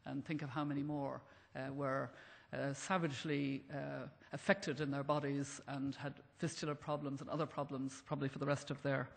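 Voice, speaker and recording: A man speaking gravely on a conference panel, pausing with frequent hesitations. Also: medium pitch, little pitch movement. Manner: grave, hesitant, many fillers. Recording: echoey conference hall.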